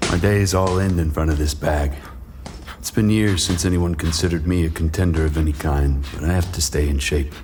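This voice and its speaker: Deep-voiced, world-weary washed-up boxer. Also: male voice, fairly even intonation, moderate pace, background noise. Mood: weary, reflective. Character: weary washed-up male boxer, gruff, haunted by past. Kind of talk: brooding first-person noir narration in audio drama. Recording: music bed under the voice.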